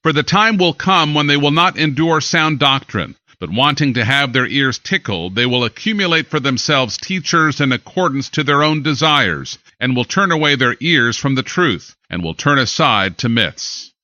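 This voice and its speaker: An American man reading scripted news as an anchor, with an emphatic, deliberate newsreader delivery. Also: medium pitch, expressive, bright, forceful tone, no room sound, highs cut off.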